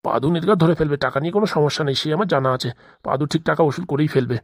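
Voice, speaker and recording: Woman voicing a low, fast-talking worried father. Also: no echo, clean studio sound. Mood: fretful. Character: worried middle-aged father and future father-in-law, chatty, caring. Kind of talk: comedy cartoon dubbing.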